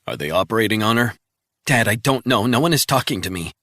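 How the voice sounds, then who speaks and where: A man's voice: lowish voice, expressive, quick pace, bright, forceful tone, dry recording, pitched above the performer's own voice. A man voicing an anxious young adult daughter calling with urgent news, in a dry audiobook reading. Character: distressed young woman, worried daughter.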